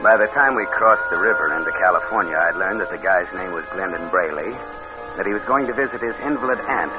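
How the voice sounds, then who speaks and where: An actor playing a scheming young drifter, sly and lazy, in a mid-century radio crime drama. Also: male voice, sits low, fast, loud music behind the voice, close to an everyday voice. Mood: sly narrating. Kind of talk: 1950s radio crime drama, first-person narration. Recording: muffled old radio recording.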